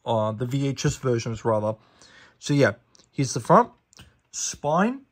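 A young man talks through a DVD review in a casual, chatty way, rattling off facts with fillers and quick self-corrections. His voice sits a little on the high side. It sounds like a phone recording in a small living room. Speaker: DVD collector reviewing a disc. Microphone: phone camera held over the disc.

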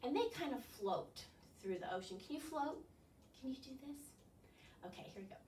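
A woman's voice: high-pitched, expressive, moderate pace, darkish tone. A woman in her sixties leading a storytime for young children as a librarian, with exaggerated wonder and coaxing questions. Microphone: distant camera microphone. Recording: roomy, distant camera mic, faint noise.

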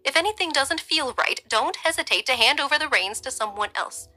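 A woman's voice: expressive, a little fast, soft background music. A woman playing a worried, caring wife. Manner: pleading, higher and gentle.

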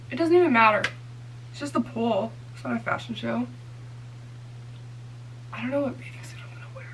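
A woman's voice: a little high, a little hiss or hum, poor audio. A woman in her twenties chatting casually to the camera as she tidies her apartment and packs a bag. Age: 20s. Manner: chatty, thinking aloud while tidying and packing. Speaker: vlogger. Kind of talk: casual vlog chat. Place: small apartment bathroom and bedroom, indoors.

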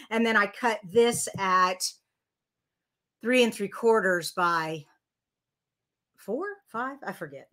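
An older woman talks through a card-making project live from her craft desk. Her voice is bright and clear. She chats casually, corrects herself often and greets viewers by reading their comments. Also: on the high side. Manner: chatty, self-correcting, reads viewer comments aloud.

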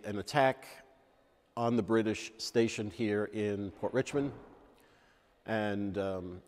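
A man's voice: lowish voice, darkish tone. Older man in a reverberant church. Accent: New York. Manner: measured, frequent ums, explanatory. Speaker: church elder. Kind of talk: interview answers on church history. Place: large church sanctuary with Christmas tree. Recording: long reverb of a large church.

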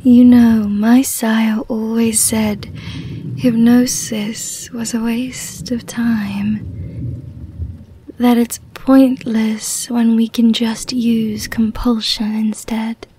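Slow, whispery seductive vampire woman acted by a woman. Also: on the high side, little pitch movement, slight background noise. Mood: smug, seductive. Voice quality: soft, breathy, often whispered. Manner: slow, coaxing, deliberate. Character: seductive, commanding female vampire, smugly hypnotic. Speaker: ASMR roleplay voice actor. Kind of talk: ASMR roleplay, soft hypnotic murmuring.

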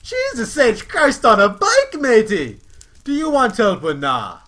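A man voicing a brash talking bear in a comic fairy-tale reading, shouting his lines. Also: extremely high pitch, huge pitch swings, unhurried, voice pushed up, full character voice. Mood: brash, loud. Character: brash male talking bear, loud and gruff.

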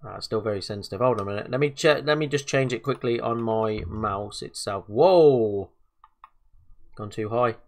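A man's voice: on the low side, moderate pace. Chatty man, bit bunged up. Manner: chatty, hesitant, lots of fillers and self-talk. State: slightly bunged up from a cold. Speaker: gaming video host. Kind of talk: casual let's-play commentary.

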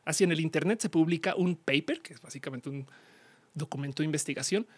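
A woman in her thirties with a Colombian accent, a podcast guest explaining things casually with slang and acted-out exchanges, on a studio boom mic. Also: quick pace, husky, no echo. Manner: animated, slangy, acts out quoted exchanges. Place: small indoor studio. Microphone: studio mic on boom arm, headphones.

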